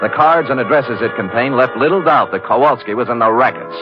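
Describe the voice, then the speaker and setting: An American actor playing a wry, tough private detective in a deadpan 1940s radio drama style. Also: male voice, mid-range voice, brisk, lightly acted. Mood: dry narrating. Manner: deadpan, hard-boiled. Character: wry, tough, unflappable private detective narrating his case. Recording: muffled old radio recording with music.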